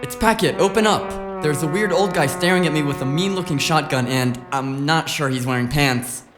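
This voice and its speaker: A man's voice: on the high side, moderate pace, music in the background, close to an everyday voice. A man playing a brash, foul-mouthed, teasing tech sidekick in a noir audio drama, snarky and colloquial. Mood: exasperated. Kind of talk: noir audio drama acting, casual banter.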